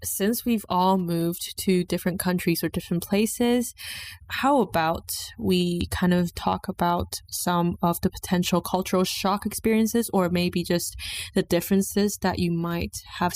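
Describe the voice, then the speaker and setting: A woman's voice: lowish voice, steady tone, measured pace, darkish tone, a little hiss or hum. A young woman from Taiwan with a North American accent, co-hosting a podcast in an easygoing, casual chat. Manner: easygoing, laughs often. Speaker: podcast co-host.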